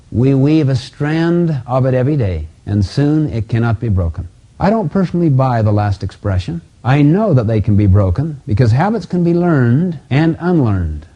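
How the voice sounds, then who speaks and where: A middle-aged man giving a motivational self-help lecture in a didactic, emphatic way with deliberate phrasing. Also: medium pitch, warm tone. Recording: dull lo-fi audio.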